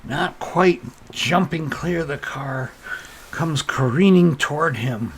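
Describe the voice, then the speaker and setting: Male solo game master, slow and gravelly, improvising narration haltingly over faint noise. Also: on the high side, animated. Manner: halting, improvised, thinking aloud. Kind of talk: narrating a solo tabletop role-playing game.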